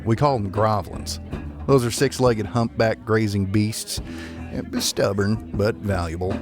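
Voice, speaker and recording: An actor playing a plainspoken, homesick rancher in a science fiction audio drama, talking in a folksy cowboy way. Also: male voice, lowish voice, gravelly. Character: plainspoken rancher man, homesick, fond of his steed. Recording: music under the voice.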